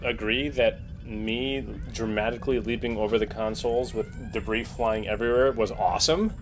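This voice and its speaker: A man's voice: lowish voice, moderate pace. A man chatting eagerly as a player in a casual tabletop role-playing game, sometimes stumbling into his sentences. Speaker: player as starship captain. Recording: faint room noise.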